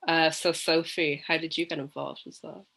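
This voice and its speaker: A man's voice: on the high side, flat delivery, moderate pace, gravelly, pushed and bright. A man with an Irish accent hosting a casual interview over a video call, with lots of ums and short, friendly replies. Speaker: host.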